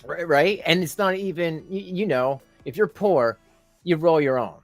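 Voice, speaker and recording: A man's voice: on the high side, moderate pace, no room sound, soft background music. A man hosting a casual live reaction stream, mocking and laughing often.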